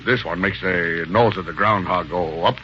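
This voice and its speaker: An actor playing a folksy, unschooled inventor with halting, ungrammatical talk, in an old radio serial. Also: male voice, low voice, pushed and bright. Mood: proud. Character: Barney, folksy unschooled inventor proud of his machine. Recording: muffled, hissy old radio recording.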